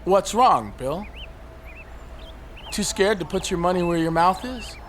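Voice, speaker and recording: A man's voice: sits high, lively intonation, measured pace, a bit bright. An actor playing a cynical, suicidal man on a ledge in an audio drama, sarcastic, articulate and needling. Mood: probing, challenging. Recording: faint background noise.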